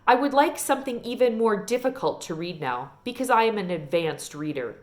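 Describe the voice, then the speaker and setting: Storybook role: woman as haughty schoolgirl show-off, prim, snooty, expressive pitch. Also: fairly quick, natural voice. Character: snooty, pinch-faced girl, self-proclaimed advanced reader.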